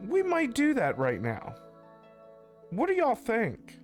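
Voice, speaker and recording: A man's voice: extremely high pitch, huge pitch swings, takes it slowly. A man chatting casually on a game livestream, rambling and repeating himself as he talks to his viewers. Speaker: game streamer. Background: faint game music.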